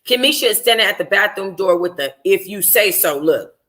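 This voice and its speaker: Woman doing a book club read-aloud, really bright forward voice, expressive and chatty, slangy. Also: medium pitch, fairly quick. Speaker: book club host reading a novel aloud. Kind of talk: informal audiobook-style reading of a first-person novel.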